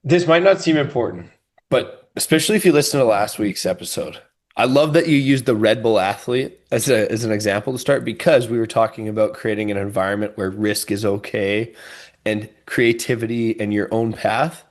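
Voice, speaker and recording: A man's voice: lowish voice, normal speed. Emphatic man co-hosting a podcast. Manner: emphatic and expansive, thinks aloud with "you know". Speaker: podcast co-host. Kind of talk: casual podcast chat.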